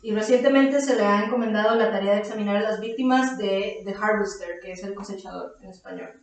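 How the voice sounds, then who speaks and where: A woman's voice: medium pitch, fast talker. A woman with a Mexican accent co-hosting a podcast, chatting casually about comics and audio drama. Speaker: podcast co-host. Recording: very echoey room, far from mic.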